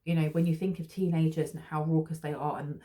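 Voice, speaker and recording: Woman, tarot reader, lowish mellow voice, chatty and reassuring, lots of ums and restarts. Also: flat delivery, fairly quick. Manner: rambling, reassuring, with many fillers and restarts. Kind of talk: casual spiritual tarot reading.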